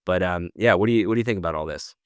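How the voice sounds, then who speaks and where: A middle-aged man with a deep, clear voice, recorded dry. He co-hosts a news show like a casual chat, with loose, slangy wording. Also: racing, dry recording. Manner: informal, slangy. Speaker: co-host.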